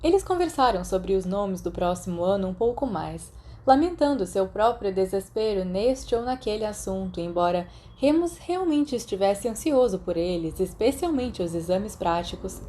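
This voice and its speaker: Mellow Brazilian woman narrating fiction. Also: mid-range voice, animated, moderate pace, few pauses. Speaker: podfic narrator. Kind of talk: scripted narration, fiction audiobook.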